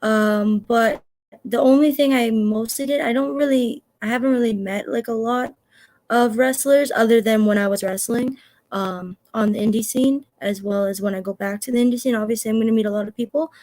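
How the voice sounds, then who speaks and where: A woman's voice: on the high side, steady tone, a little fast, a little mellow, no room sound. A young woman from Canada, a wrestler answering interview questions in a chatty way, full of "like" and "um". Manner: chatty, many "like" and "um" fillers.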